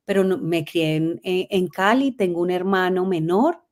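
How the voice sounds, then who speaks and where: A middle-aged Colombian woman, a guest telling her life story in a lively way, laughing often. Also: fairly low pitch, moderate pace. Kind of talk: conversational interview.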